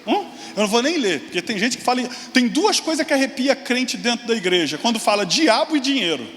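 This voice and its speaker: A man in his forties preaching a sermon to a congregation, with sudden high exclamations and quick asides to the listeners. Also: very animated, fairly bright tone. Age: 40s. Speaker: pastor. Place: large church hall. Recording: long hall reverb, faint room noise.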